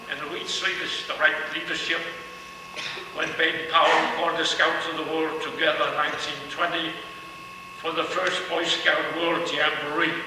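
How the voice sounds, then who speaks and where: Older man from Denmark, fairly high voice, distant mic, noisy hall echo. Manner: unhurried, repeats phrases while searching. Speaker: guest speaker at a ceremony. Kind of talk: ceremonial speech to an audience. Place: large hall. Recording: echoey hall from far off, noisy.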